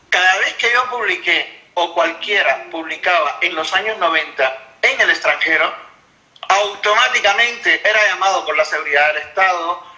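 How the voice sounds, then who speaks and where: A man lays out his points at length, measured and explanatory, often restarting a phrase. His voice sits high for a man and sounds very bright and forceful. The recording carries a noticeable room echo. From Cuba. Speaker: interviewed author. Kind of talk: interview answers.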